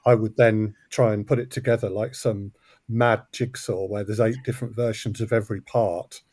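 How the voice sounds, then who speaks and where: A man's voice: lowish voice, steady tone, moderate pace, a little mellow, breathy edge, no room sound. Man in close, dry conversation. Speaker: audio drama co-creator and producer, interview guest. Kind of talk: conversational interview explanation.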